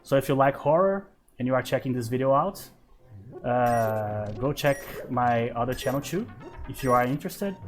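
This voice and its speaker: A man's voice: medium pitch, normal speed. Brazilian guy in his 30s doing laid back lets play commentary, lots of uh's, music faint in the back. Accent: Brazilian Portuguese. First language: Portuguese. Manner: relaxed, thinking aloud with many fillers. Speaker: gaming channel host. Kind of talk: casual let's play commentary.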